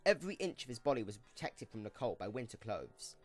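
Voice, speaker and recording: Male narrator for an audio drama: expressive, dramatic read of scripted story over background music. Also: mid-range voice, a little fast.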